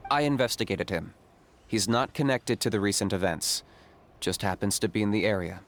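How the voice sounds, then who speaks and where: An actor playing a stoic, level-headed young hunter in a video game, speaking in a deadpan, matter-of-fact way. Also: male voice, fairly low pitch, normal speed, slight background noise, lightly acted.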